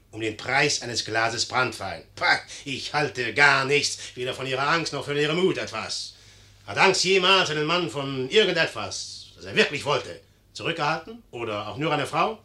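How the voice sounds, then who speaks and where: A proud young general in a 1950s radio drama. His voice is bright and forceful and swings widely from hushed irony to emphatic declamation. He sounds bold, mocking and cynical. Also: medium pitch. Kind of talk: 1950s German radio play acting.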